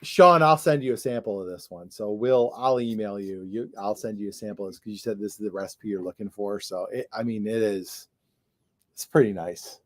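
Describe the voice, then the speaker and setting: A man's voice: fairly low pitch, brisk. A man co-hosting a casual livestream chat. He talks in a chatty, jokey way, often trailing off and restarting. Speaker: co-host.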